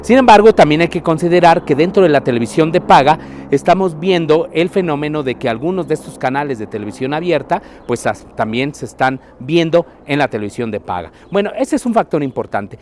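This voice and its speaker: A man with a Mexican Spanish accent giving prepared media commentary in a measured, explanatory way. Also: on the high side, lively intonation, darkish tone, a little hiss or hum.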